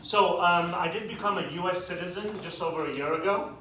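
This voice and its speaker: British-accented male comic in an echoey club. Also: high voice. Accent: British English. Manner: dry, self-deprecating punchlines with quick asides. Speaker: stand-up comedian. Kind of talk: stand-up comedy set. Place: comedy club with a live audience. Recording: echoey club, distant mic, audience noise. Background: audience laughter.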